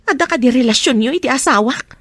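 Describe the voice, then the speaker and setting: A woman's voice: sits high, animated, quick pace, fairly bright tone, no echo. A woman acting a sorrowful, betrayed wife in a radio drama, plaintive and hesitant, with an Ilocano accent. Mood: wary, questioning. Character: Roxanne, sorrowful betrayed wife, meek and pleading.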